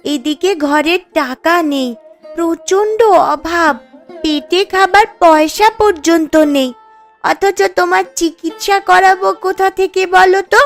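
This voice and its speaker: A devoted young granddaughter, played by a woman, pleads earnestly in a cartoon story. The voice is high and anxious, with faint music behind it. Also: measured pace. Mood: worried, pleading. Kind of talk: Bengali cartoon story dialogue.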